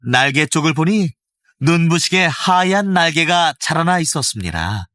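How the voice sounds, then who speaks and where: A male voice actor narrating a children's fairy tale with gentle, expressive storytelling, recorded close in a studio. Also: a little high, moderate pace, dry recording.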